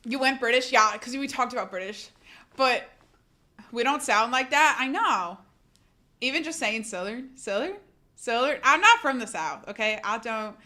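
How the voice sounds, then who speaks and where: A woman's voice: fairly high pitch, expressive, normal speed, bright, forceful tone. A woman livestreamer chatting casually with her audience, chatty and self-deprecating, often laughing and reading chat aloud.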